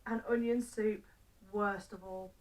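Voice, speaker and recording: A woman storyteller reading a children's story aloud in simple phrases with deliberate pauses. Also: mid-range voice, fairly even intonation, a little mellow, far from the mic, poor audio.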